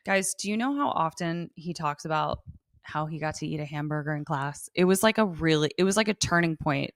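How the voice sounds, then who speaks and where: A woman co-hosting a podcast, casually recapping a TV episode in slangy, sweary, animated storytelling. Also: low voice, brisk, dry recording, clean studio sound. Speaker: podcast co-host.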